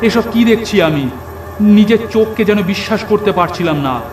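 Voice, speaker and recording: Man playing a terrified, high-strung young nephew. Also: expressive, normal speed. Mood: panicked. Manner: trembling, stammering, frantic. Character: terrified young nephew trapped among ghouls. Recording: echoey voice over music.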